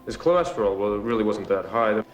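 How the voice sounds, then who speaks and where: An actor playing a blunt, grave autopsy pathologist in dramatized dialogue. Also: male voice, fairly low pitch, animated, fast, dull audio, natural voice. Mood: grim. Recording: echoey room, faint music behind.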